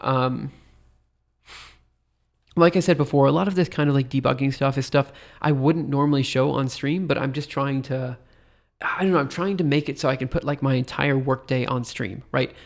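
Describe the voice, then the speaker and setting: A man's voice: mid-range voice, normal speed, vocal fry. A tired man with a stuffy nose thinks aloud while live coding on a stream, rambling hesitantly and poking fun at himself. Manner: rambling, hesitant, self-deprecating.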